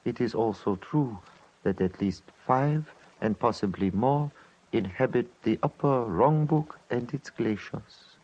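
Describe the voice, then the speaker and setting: An actor playing a dignified old Tibetan religious elder in a 1950s radio drama, speaking formally and with measured courtesy. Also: male voice, medium pitch, darkish tone, close to an everyday voice. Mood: solemn, gracious. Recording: muffled 1950s radio recording.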